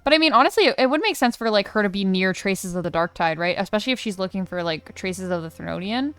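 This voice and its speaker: A woman's voice: mid-range voice, expressive, fast talker, fairly bright tone, clean tone. A young woman doing casual let's play commentary, slangy, reactive and given to swearing. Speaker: gaming streamer. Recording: game music behind the voice.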